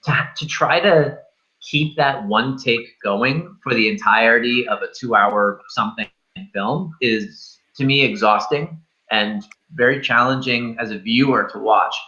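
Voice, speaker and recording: Male film show co-host, bright forceful voice, loose enthusiastic chat, slightly muffled recording. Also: mid-range voice, measured pace. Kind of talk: casual film discussion.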